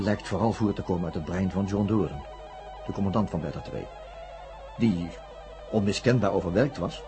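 An actor playing an analytical, sceptical male investigator, narrating a science-fiction radio drama in a deliberate, formal, pedantic manner. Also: low voice. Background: music with plucked guitar.

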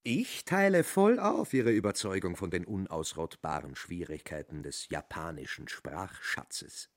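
An actor playing a pompous tailor in a radio play, declaiming long-winded, flowery speeches. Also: male voice, low voice, animated, a little slow.

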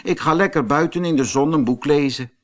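A man voicing a lazy, excitable male ant in a children's storybook, with a dramatic manner. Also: on the high side, a little fast, natural voice. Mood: carefree.

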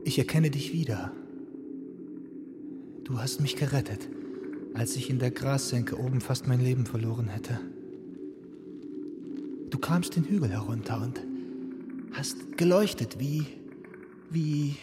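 An actor playing a lonely, brooding young man in a radio play, speaking slowly and often in a hush. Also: mid-range voice, very noisy, lightly acted. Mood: wondering. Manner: slow, brooding monologue, often hushed or whispered. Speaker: Kuno, lead in a radio play. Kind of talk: radio drama acting.

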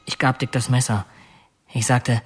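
An actor playing a brooding male killer who confesses matter-of-factly and haltingly, as in a radio drama. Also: medium pitch, flat delivery, slight background noise, close to an everyday voice. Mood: grim, confessing. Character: confessing male killer, bitter, brooding, oddly soft-hearted. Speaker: actor narrating as a murderer.

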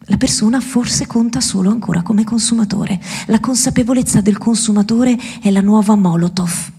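A woman's voice: mid-range voice, warm tone, breathy edge. A woman delivering an impassioned satirical monologue at a live event, with rhetorical questions, emphatic repetition and sardonic swearing. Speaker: speaker at a benefit event for Gaza. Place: live public event, speaking to an audience.

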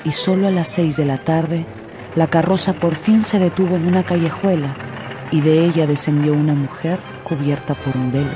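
A narrator telling a radio drama story in an expressive, theatrical way with drawn-out emphasis. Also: medium pitch, music in the background.

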